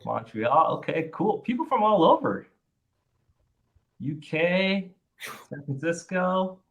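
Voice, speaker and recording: A man's voice: high voice, big pitch swings, takes it slowly, vocal fry, dull audio. A man hosting a webinar over a video call, upbeat and chatty as he welcomes attendees. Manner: upbeat, chatty, many fillers and restarts. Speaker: webinar host. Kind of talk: webinar presentation.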